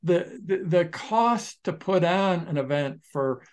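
A man with a slightly raised voice co-hosts a casual podcast conversation. He tells stories animatedly, speeding up and slowing down and restarting sentences as he goes. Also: sits high. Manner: animated storyteller, restarts sentences, shifts pace often. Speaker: podcast co-host, online business founder.

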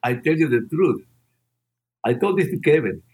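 A man's voice: on the high side, sing-song, moderate pace, darkish tone, husky. Older man, rambling storyteller. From Peru. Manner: rambling, frequent you know and restarts. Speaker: musician guest. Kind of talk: storytelling interview answers.

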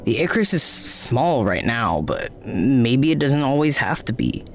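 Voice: medium pitch, sing-song, a little slow, a little hiss or hum. An actor playing a bashful, idealistic amateur writer in a naturalistic audio drama, casual, hesitant and self-effacing. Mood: hopeful.

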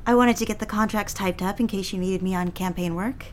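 A woman playing an anxious, deferential young secretary in a period office drama, halting and flustered as she talks. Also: mid-range voice, background noise, lightly acted. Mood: eager, startled. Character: anxious, eager young secretary, engaged to be married.

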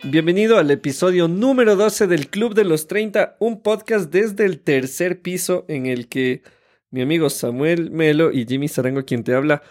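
A man's voice: a little high, darkish tone. A man in his thirties with an Ecuadorian Spanish accent, co-hosting a conversational podcast. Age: 30s. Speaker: podcast co-host.

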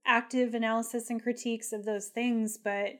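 Chatty woman co-host, higher voice. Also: little pitch movement, moderate pace. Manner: thoughtful, many "like" fillers. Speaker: podcast co-host. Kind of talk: casual analytical chat.

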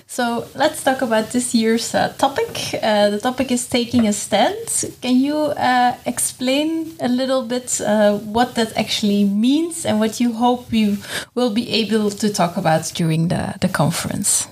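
A woman's voice: a little high, measured pace, hardly any pauses, a little mellow. A woman hosting a podcast, asking brief, friendly interview questions. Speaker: podcast host.

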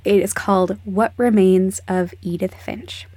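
A woman speaking intimately to podcast listeners in a semi-scripted recommendation, with dramatic pauses and soft phrase endings. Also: mid-range voice, steady tone, no echo. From a desert region. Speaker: podcast contributor giving recommendations.

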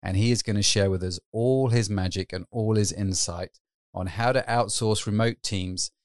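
A man's voice: fairly low pitch, moderate pace, no room sound, clean studio sound. A man hosting a podcast interview, asking eager, upbeat questions. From United Kingdom. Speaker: podcast host.

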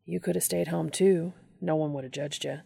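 A woman's voice: low voice, steady tone, normal speed, gravelly, dark tone, slightly rough, no echo, clean studio sound, heavily acted. A woman voicing a burly, kindly male mechanic in a storybook narration, with an easygoing, folksy, reassuring manner.

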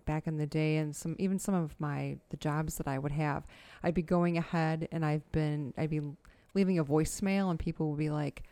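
A middle-aged woman with a soothing voice, co-hosting a casual podcast at home, easygoing and quick to laugh. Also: low voice, steady tone, mellow, dry recording. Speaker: podcast co-host. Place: home dining room.

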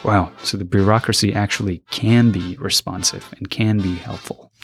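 A young man from Afghanistan thoughtfully telling stories about his college years, pausing to search for words and growing animated when he retells a scene. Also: low voice, music in the background. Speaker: interviewee, college alumnus. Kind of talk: reflective personal storytelling.

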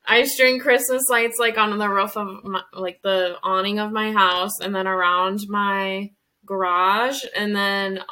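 A woman's voice: medium pitch, steady tone, a little slow, pushed and bright. A woman in her early thirties co-hosting a podcast, chatting in an upbeat, talkative way. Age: 30s. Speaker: podcast co-host. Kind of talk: casual chat.

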